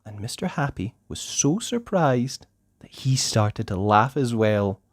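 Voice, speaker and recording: A storyteller reading a children's book aloud in a theatrical, deliberate way with drawn-out emphasis. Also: medium pitch, big pitch swings, dry recording, clean studio sound. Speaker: storybook narrator. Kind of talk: expressive children's read-aloud narration.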